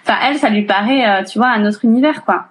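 A woman's voice: a little high, quick pace, low-quality recording. A woman in her thirties talking casually in an interview, chatty and full of fillers and restarts. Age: 30s. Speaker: interviewee, single mother. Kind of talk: casual chat.

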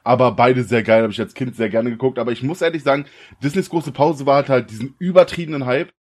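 A young man from the co-hosting a casual podcast, slangy and relaxed, often laughing. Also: mid-range voice, fast. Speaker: podcast co-host. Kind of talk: casual chat.

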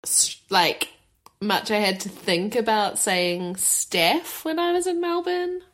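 Unhurried, lively New Zealand woman. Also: mid-range voice. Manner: relaxed, giggly, reflective. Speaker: podcast co-host. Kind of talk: casual chat.

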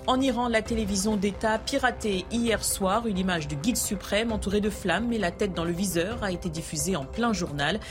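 A woman presenting a news bulletin in a measured, even delivery. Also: mid-range voice. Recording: music bed under voice.

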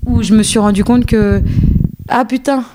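A woman's voice: on the high side, fairly even intonation, moderate pace, background noise. A woman in her thirties, born in Algeria and raised in France, talks casually as a guest with many fillers and restarts. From born in Algeria, raised in a French suburb. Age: 30s. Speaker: guest, choreographer and dance teacher. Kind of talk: casual conversational interview.

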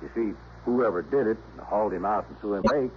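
In a muffled old radio play, an actor plays a dogged local sergeant. His voice is on the low side, gruff and blunt, over faint noise. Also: fast, natural voice. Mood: explaining. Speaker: police sergeant. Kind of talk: 1960s radio drama acting.

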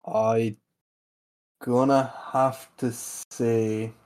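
A young Irish man co-hosting a casual podcast, quiet and hesitant, often trailing off mid-thought. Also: medium pitch, little pitch movement, extremely slow, smooth. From Ireland. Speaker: podcast co-host. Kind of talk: casual chat.

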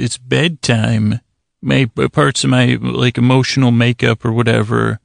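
A man hosting a bedtime podcast in a meandering, halting monologue, often interrupting himself and drifting off on tangents, with a creaky, gravelly voice. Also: mid-range voice, dry recording, clean studio sound. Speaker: podcast host telling bedtime stories. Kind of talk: rambling sleep-podcast monologue.

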